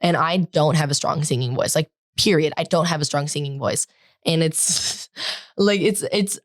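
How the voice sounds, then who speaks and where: A teenage girl co-hosting a podcast in casual chat, talking loudly and rambling with lots of "like" fillers. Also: deep voice, no room sound, studio quality. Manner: loud, projecting, rambling with many "like" fillers. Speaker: podcast co-host.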